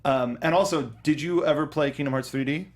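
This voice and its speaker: A man's voice: fairly high pitch, brisk. A young man co-hosting a casual movie review show, enthusiastic and rambling, often restarting his sentences. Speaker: podcast co-host. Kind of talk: casual film review chat.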